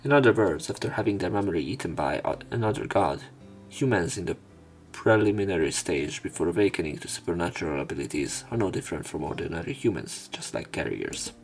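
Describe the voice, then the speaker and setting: Man, low pitch, let's play narration, measured phrasing, reading aloud, faint game music. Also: expressive. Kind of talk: reading visual novel text aloud.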